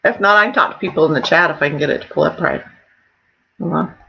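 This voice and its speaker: A woman's voice: sits low, quick pace, vocal fry, sharp tone, slight background noise. A middle-aged woman from Oklahoma chatting casually on a livestream. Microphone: internet video call. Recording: dull lo-fi livestream audio.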